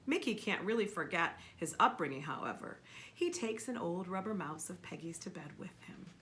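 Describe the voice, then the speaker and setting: A woman reading a children's story aloud expressively and emphatically, pausing to ask a child questions. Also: lowish voice. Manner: expressive, emphatic, with repeated words for effect.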